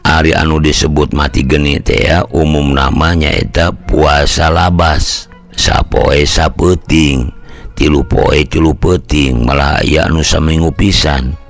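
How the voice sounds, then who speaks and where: A man doing a very deep, gravelly Sundanese village elder. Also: fairly even intonation, soft background music, exaggerated voice. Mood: opinionated. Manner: unhurried, opinionated, explaining. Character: gruff, opinionated older village elder explaining things.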